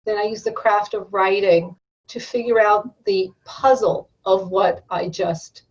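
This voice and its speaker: A woman's voice: medium pitch, steady tone, measured pace, recorded from a distance, muffled recording. A woman guest on an education podcast who speaks in a thoughtful, anecdotal way. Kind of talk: podcast interview.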